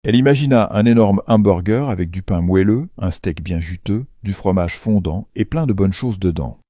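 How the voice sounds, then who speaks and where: A male storyteller narrates a children's fairy tale from a script. His voice is deep, soft-edged and dark, with a husky grain. The delivery is measured, with a playful, wry touch. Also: dry recording, studio quality.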